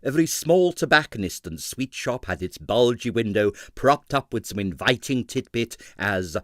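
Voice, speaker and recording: Man reading a story with lively intonation. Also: mid-range voice, normal speed, dry recording, studio quality. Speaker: audiobook reader. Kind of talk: scripted narration of a comic detective novel.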